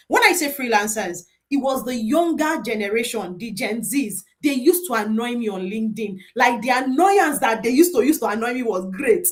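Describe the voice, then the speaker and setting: A middle-aged Nigerian woman hosting a live online boot camp as a career coach, talking animatedly and repeating her points with prayerful encouragement. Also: on the high side.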